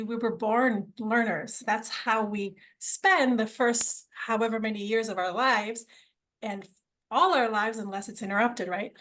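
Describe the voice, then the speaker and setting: A woman's voice: mid-range voice, fairly bright tone. A middle-aged woman originally from Argentina, telling her story as a podcast guest in an enthusiastic, conversational way, often repeating words as she restarts.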